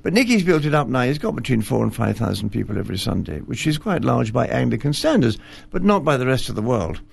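Older clergyman guest, heavy vocal fry, gentle and self-deprecating, studio interview. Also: medium pitch, big pitch swings, fast talker. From Scotland. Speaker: retired bishop, guest. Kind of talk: relaxed interview conversation. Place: television studio.

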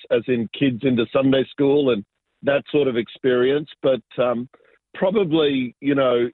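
A man in his forties recounts his life in a halting, reflective way, with many pauses and fillers. The recording is dry and close, with the narrow, thin sound of a phone line. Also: medium pitch, dry recording. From Argentina, raised in Sydney. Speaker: guest, business pastor. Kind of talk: casual interview storytelling.

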